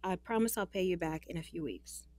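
An actress playing a sweet but gently stubborn young woman in an audio drama, with an expressive manner. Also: fairly low pitch, brisk, no echo, lightly acted. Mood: soft, grateful.

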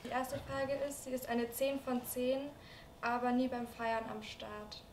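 A woman's voice: fairly high pitch, steady tone, measured pace, echoey room, distant mic, slight background noise. A woman in her twenties hosting a dating show in casual chat, easygoing and giggly. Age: 20s. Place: studio with curtains.

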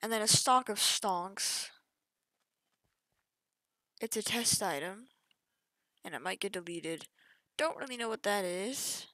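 A woman's voice: medium pitch, animated, takes it slowly, pauses often, pushed and bright, no echo, low-quality recording. Chatty young gamer woman, dry close mic. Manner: chatty, offhand, trails off mid-sentence. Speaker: gaming youtuber. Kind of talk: casual gameplay walkthrough.

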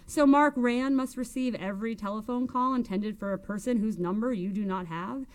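A woman's voice: fairly high pitch, normal speed, natural voice. A woman narrator plays a domineering, bitter woman in an audiobook. She scolds and harangues with emphatic force. Her questions come as accusations, leaving little room for reply. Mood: scolding. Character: bitter, hectoring, domineering older woman berating Melba by phone.

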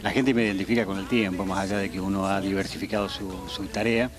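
A middle-aged Argentine man with a fairly low voice, speaking into a handheld mic on a studio couch. He jokes at his own expense and acts out the shouts of passersby. Music plays clearly behind him. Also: fairly even intonation, fast talker. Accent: Argentine Spanish. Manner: joking, self-deprecating, acts out shouts from passersby. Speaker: weather presenter as guest. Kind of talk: casual interview. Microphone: handheld mic held by interviewer.

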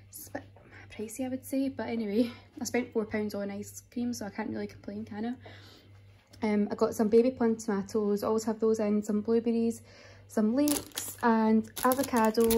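A woman's voice: on the high side, mellow, a little hiss or hum. A young adult woman chatting casually and unscripted as she films a shopping haul in her kitchen. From United Kingdom. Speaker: vlogger showing a shopping haul. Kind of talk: casual chatty voice-over to camera. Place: home kitchen, indoors.

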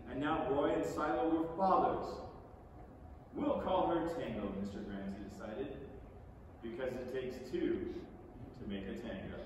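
A middle-aged man reading a storybook aloud on stage in an expressive, theatrical way. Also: on the high side. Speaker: stage reader. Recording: echoey theatre from far off, noisy.

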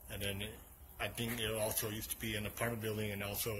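Rambling low-voiced man exploring ruins. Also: little pitch movement, fast talker, background noise. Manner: loose, rambling, self-correcting. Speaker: urban explorer vlogger. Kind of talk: casual walking narration. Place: outdoor ruins of a large old hotel.

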